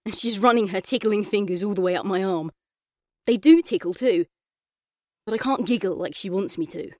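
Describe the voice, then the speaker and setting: A woman reading a children's audiobook as a chatty, earnest young boy telling his own story, expressive and colloquial. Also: mid-range voice, moderate pace, dry recording, clean studio sound, close to an everyday voice. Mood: playful, frustrated. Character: boy narrator in a coma, chatty, frustrated, yearning. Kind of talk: first-person children's audiobook narration.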